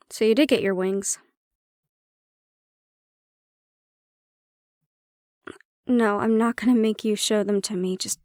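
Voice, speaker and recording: A woman's voice: on the high side, a little mellow, dry recording, natural voice. Woman acting wry, prickly fantasy handler, close-up. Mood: softer, curious. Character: prickly but secretly caring woman handler in fantasy facility. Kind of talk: intimate audio roleplay, addressed to the listener.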